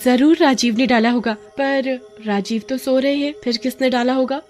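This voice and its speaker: A woman voicing a cruel, snappish young mother and sister-in-law in an animated story, scornful and scolding. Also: high voice, moderate pace, faint music behind, pitched above the performer's own voice, close to an everyday voice. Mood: irritated.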